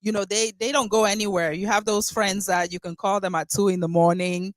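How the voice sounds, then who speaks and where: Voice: mid-range voice, little pitch movement, brisk, no room sound. Chatty podcast host, dry close sound. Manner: warm-hearted, rambling, with frequent restarts and laughs. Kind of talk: casual conversational interview.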